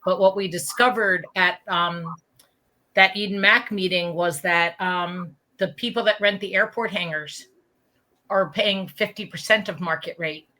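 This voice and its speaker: A woman's voice: fairly low pitch, steady tone, measured pace, bright, forceful tone, low-quality recording. A woman speaking as a guest in an interview, conversational and emphatic, often checking that listeners follow. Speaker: interview guest, open space campaigner.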